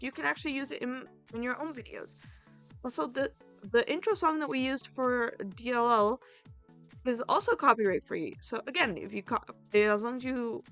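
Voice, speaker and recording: High-voiced woman chatting over music. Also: fairly bright tone. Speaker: roleplay series host and video creator. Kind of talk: casual YouTube outro announcements, chatting to viewers. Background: background music.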